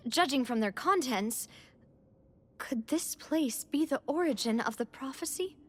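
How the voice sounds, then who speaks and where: A woman's voice: high voice, animated, a little slow. An actress playing a thoughtful young woman in video game dialogue, reasoning aloud in an earnest way.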